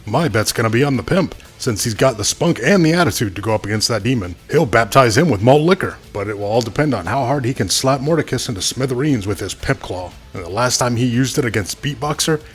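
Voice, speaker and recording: A folksy male co-commentator at a wrestling match, played by a man. He has a medium-pitched voice and gives opinionated, scripted commentary over faint music. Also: expressive, fast, hardly any pauses, husky.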